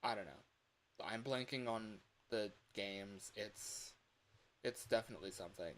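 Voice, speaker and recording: A man's voice: lowish voice, a little slow, fairly bright tone. A man chatting casually on a livestream in a rambling, distracted way. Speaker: game developer and livestream host. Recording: clear background hiss.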